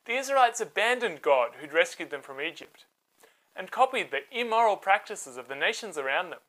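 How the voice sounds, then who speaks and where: A woman narrating a scripted children's Bible story in a dramatic, expressive way. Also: lowish voice, a little fast, poor audio.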